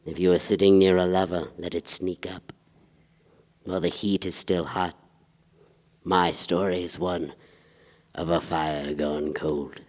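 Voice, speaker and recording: A man's voice: deep voice, little pitch movement, unhurried, pauses often, a little mellow. A man playing a melancholy, pompous poet and part-time detective in a staged play reading, with florid, declamatory delivery. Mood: grandiose, intimate. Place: live on a theatre stage. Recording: reverberant theatre hall.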